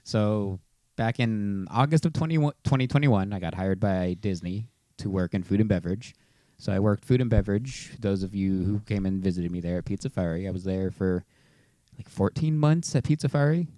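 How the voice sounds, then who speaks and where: Mellow, low-voiced man telling a story. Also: expressive, moderate pace, no echo. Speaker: podcast co-host. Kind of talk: casual personal storytelling on a podcast.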